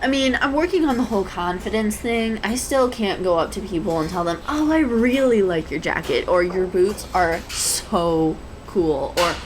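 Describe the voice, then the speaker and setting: A woman's voice: mid-range voice, animated, normal speed, hardly any pauses, close to an everyday voice, poor audio. A woman playing a shy, anxious, adorkable young woman in an intimate roleplay audio, rambling hesitantly and stammering. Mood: shy, earnest. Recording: clear background coffee-shop ambience noise. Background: coffee shop ambience sound effects.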